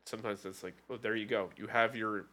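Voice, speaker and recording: A man's voice: lowish voice, steady tone, fast talker, sharp tone. A man co-hosting a casual chat show, musing aloud with wry asides.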